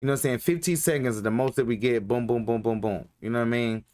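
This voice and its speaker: A man's voice: mid-range voice, quick pace, a little mellow. Young male streamer from North Carolina; loose, filler-heavy slangy chat to viewers. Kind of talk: casual live-stream chat.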